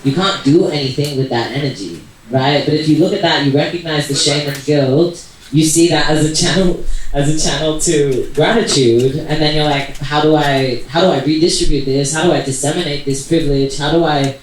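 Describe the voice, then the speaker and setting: Distant from the mic in a very reverberant venue with faint noise, a man speaks in a slightly high voice. He is a panel speaker who reflects casually, explains as he goes and checks that listeners follow. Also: normal speed. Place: echoey event venue.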